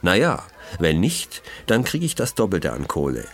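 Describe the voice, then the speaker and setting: A man's voice: deep voice, huge pitch swings, normal speed, exaggerated voice. A man voicing a shady, cocky teenage card forger with growling, slangy hustler talk in a children's audio mystery. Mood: cocky, sly.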